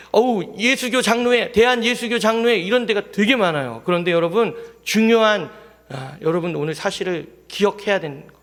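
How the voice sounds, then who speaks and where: High-voiced male pastor preaching in a church. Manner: lively preaching with questions to the congregation. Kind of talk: sermon. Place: church sanctuary. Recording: long hall reverb in a church.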